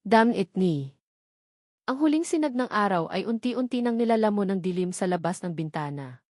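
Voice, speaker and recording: A woman's voice: medium pitch, expressive, fairly quick, darkish tone, no echo. Filipino woman narrating a dramatic story, dry sound. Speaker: narrator of romance stories.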